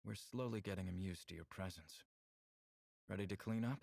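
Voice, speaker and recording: A man playing a bold, seductive alien suitor in a visual novel, speaking with calm, teasing assurance. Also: low voice, moderate pace, gravelly. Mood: calm, explaining. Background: game music.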